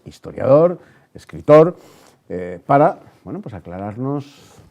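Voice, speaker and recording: Mellow male radio presenter. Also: medium pitch. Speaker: radio host. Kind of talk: radio news bulletin and interview presenting.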